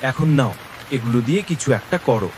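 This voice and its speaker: A man's voice: mid-range voice, quick pace, close to an everyday voice. Weary, grumbling village husband, acted by a man. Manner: grumbling, complaining. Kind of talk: animated cartoon story acting. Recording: steady rain noise behind voice.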